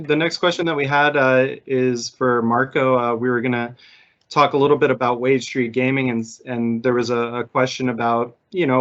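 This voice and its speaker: A man from the United States moderating a question session, speaking haltingly with lots of fillers. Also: medium pitch, fairly bright tone. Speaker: AMA moderator. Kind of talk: casual moderating. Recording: dull lo-fi call audio.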